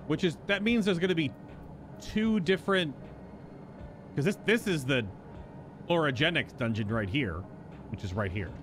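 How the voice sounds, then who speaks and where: A man's voice: fairly high pitch, expressive, moderate pace, long pauses, noisy background. A man doing casual live game commentary, musing and rambling as he thinks aloud. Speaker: game streamer.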